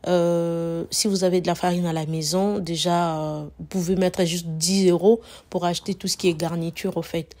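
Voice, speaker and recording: Flat-voiced woman, hoarse from a cold. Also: on the low side, normal speed, few pauses. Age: adult mother. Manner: chatty, repeats herself, many fillers. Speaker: cooking channel host. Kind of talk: casual cooking tutorial narration.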